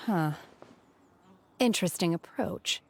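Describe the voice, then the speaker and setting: A reserved young woman in a video game, low-voiced and slow. She is cool, blunt and understated, socially a little awkward. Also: vocal fry, exaggerated voice. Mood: detached. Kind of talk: scripted video game dialogue.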